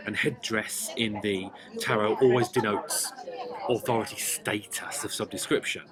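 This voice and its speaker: A man with a fairly low voice gives an explanatory tarot reading. He speaks deliberately, leaning on key words and pausing between phrases. Clear chatter of people sits behind him. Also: fairly even intonation. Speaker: tarot and numerology reader.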